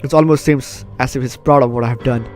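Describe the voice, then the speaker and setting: A man's voice: mid-range voice, steady tone, a little fast. A man hosting a casual let's play, chatting and reading a story game's text aloud. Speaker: gaming video host. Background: game music.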